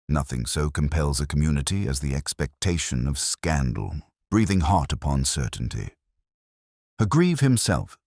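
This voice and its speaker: A man's voice: extremely low pitch, slightly rough, no room sound, studio quality. A man narrating a scripted period mystery story in a literary, dramatic style.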